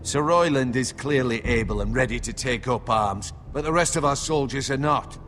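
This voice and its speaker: A man playing a cautious knight and advisor who speaks in a measured, persuasive way. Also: medium pitch, a bit bright, soft background music, natural voice. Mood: urgent. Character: shrewd cautious male advisor, sworn knight.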